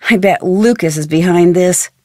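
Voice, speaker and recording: A woman playing a curious, determined young detective in a video game, thinking aloud in crisp speech with an American accent. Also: lowish voice, moderate pace, darkish tone, dry recording, clean studio sound, lightly acted. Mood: curious, focused.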